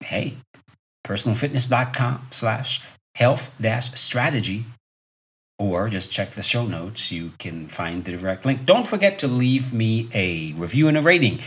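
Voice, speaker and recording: A man's voice: on the low side, sing-song, unhurried, a bit bright. A man in his fifties hosting a solo motivational podcast as a fitness coach, talking conversationally and emphatically with rhetorical questions and repeated key points. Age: 50s.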